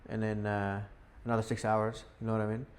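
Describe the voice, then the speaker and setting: A man's voice: fairly low pitch, a little fast, slight background noise. Syrian-born man telling his story. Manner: relaxed, storytelling, frequent fillers. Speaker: podcast guest. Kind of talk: casual podcast interview. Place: indoor podcast setup.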